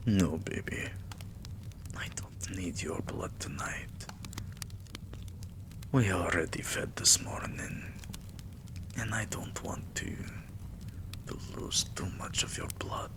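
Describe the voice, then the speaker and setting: A man playing a weary, tender vampire king in intimate roleplay ASMR, doting on a lover in a breathy, soothing whisper. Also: low voice. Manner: slow, soothing, addressing a lover with pet names.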